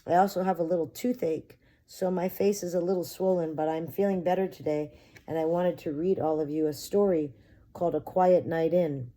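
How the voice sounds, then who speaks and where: A woman's voice: lowish voice, fairly even intonation, normal speed, dark tone. A woman preschool teacher reading a picture book aloud expressively and talking directly to young children. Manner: addresses children directly with simple questions.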